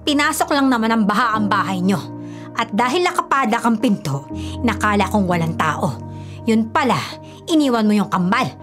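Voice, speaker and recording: A woman's voice: fairly high pitch, lively intonation, normal speed, natural voice. A woman acting a concerned neighbour in a Filipino radio drama, speaking urgently and emphatically. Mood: urgent reproach. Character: concerned female neighbour, reproachful yet sympathetic. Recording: dramatic music under the voice. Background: music.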